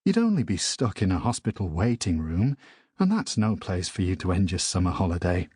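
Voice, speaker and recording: A man voicing a gentle, soothing mother in a children's audiobook, reassuring with a light scold. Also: sits low, very animated, fairly quick, breathy edge, no room sound, clean studio sound, full character voice. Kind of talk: audiobook character voice.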